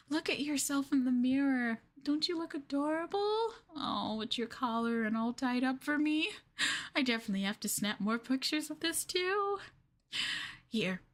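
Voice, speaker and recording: A woman playing a sweetly possessive, obsessive young girlfriend in a yandere ASMR roleplay, cooing coyly with drawn-out endearments. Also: high voice. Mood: gushing, adoring.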